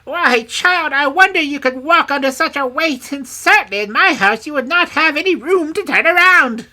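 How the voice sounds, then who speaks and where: A mean little old crone from a storybook. Her voice is pitched shrilly high and cuts sharply. She grumbles, scorns and haggles, swooping up and down with greedy mockery. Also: expressive, a little slow, bright, forceful tone. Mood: greedy, gloating. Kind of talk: storybook character voice.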